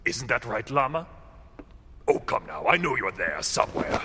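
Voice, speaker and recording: A man's voice: medium pitch, very animated, moderate pace, background noise. An actor playing a sly, smug crime boss posing as a businessman in an audio drama, pompous and taunting, slipping between murmurs and flourishes. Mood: smug, playful.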